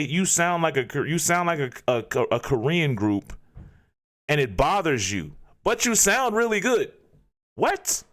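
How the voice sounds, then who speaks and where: Incredulous man ranting, lively pitch. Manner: incredulous, ranting, rhetorical questions. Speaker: reaction video host. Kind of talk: casual reaction commentary.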